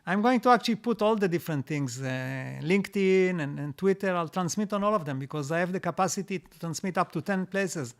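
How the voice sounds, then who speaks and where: A man hosting a live stream, reading out viewer comments and answering them in a halting way, with many restarts and repeated words. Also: high voice. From Israel. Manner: reads out comments, then answers.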